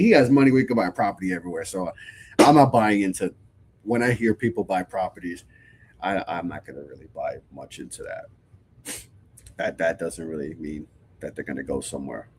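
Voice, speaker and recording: Stuffy, low-voiced man talking hoops. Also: darkish tone, slight background noise. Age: 30s. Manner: loose and conversational, frequent restarts. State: stuffy from a cold. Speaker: podcast host. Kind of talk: casual live-stream sports talk, reading chat.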